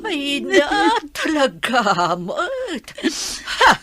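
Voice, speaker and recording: A woman's voice: sits high, wildly swooping pitch, very slow, pushed and bright, dry recording, exaggerated voice. A woman playing an angry, scolding mother in a radio drama, speaking emphatically. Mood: indignant. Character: angry mother confronting her daughter's man.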